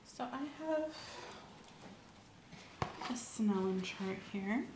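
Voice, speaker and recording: A soft-spoken woman in an ASMR medical roleplay, gently and calmly playing an examiner, often dropping into a whisper. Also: mid-range voice. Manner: gentle, reassuring, unhurried clinical narration. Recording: roomy echo, faint ambient noise.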